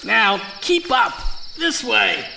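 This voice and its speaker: A man's voice: high voice, very animated, takes it slowly, vocal fry, background noise, exaggerated voice. An actor playing a wry, bossy male grave thief in a theatrical audio drama, commanding and sardonic. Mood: impatient, hushed. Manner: commanding, hushed urgency, sardonic. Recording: night insect ambience behind.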